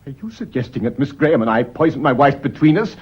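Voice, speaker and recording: Radio drama, cornered British schoolmaster: agitated, intense, very animated delivery on a muffled, band-limited recording. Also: male voice, medium pitch, fast talker, husky. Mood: alarmed, despairing. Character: anxious, cornered schoolmaster, bitter secret lover under suspicion. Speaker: actor in a radio play.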